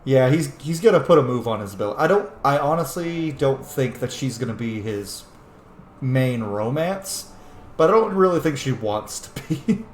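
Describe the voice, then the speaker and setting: A man's voice: medium pitch, lively intonation, normal speed. A man giving casual let's play commentary, rambling and muttering to himself as he goes. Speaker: gaming streamer. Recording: roomy echo, faint background noise.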